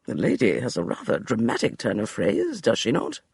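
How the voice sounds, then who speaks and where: A man voicing a wry, amused gentleman with a dry manner of speech. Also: fairly low pitch, wildly swooping pitch, moderate pace, creaky, breathy edge, heavily acted.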